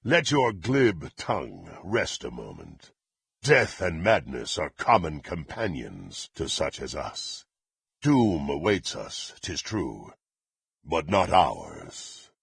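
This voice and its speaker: A menacing fantasy game warrior, rough and rasping, speaking in haughty, archaic threats. His delivery is slow and theatrical, swinging dramatically up and down in pitch. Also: huge pitch swings, dry recording, clean studio sound, full character voice. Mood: haughty, brooding. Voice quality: gravelly, raspy. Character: murderous, menacing, proud warrior serving a dark lord. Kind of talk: fantasy video game voice acting.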